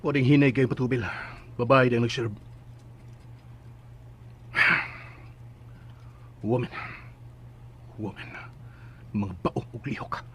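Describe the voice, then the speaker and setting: A man's voice: medium pitch, fairly even intonation, normal speed, a little hiss or hum, lightly acted. A Filipino-accented actor playing an arrogant, impatient, short-tempered man in a radio drama, grumbling and snapping. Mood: irritated.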